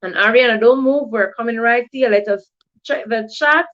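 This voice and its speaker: Jamaican woman, livestream host for an educational show. High voice, effusive and repetitive delivery, muffled lo-fi sound. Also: moderate pace, fairly bright tone. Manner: effusive, repeats thanks and stock phrases. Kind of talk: live chat hosting with shout-outs. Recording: dull lo-fi livestream audio.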